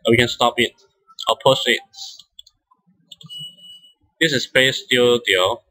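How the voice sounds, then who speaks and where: A man who speaks English as a non-native language, giving a step-by-step software tutorial in a halting, hesitant way with frequent restarts. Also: mid-range voice, poor audio. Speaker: instructor demonstrating software.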